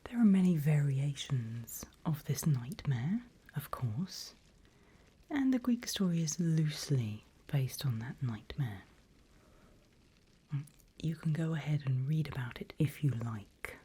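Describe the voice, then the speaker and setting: A woman playing a seasoned demon mentor in a whispered ASMR roleplay, breathy and deliberate, playfully sinister and teasing. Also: sits low, very animated, mellow, heavily acted. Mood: sinister relish.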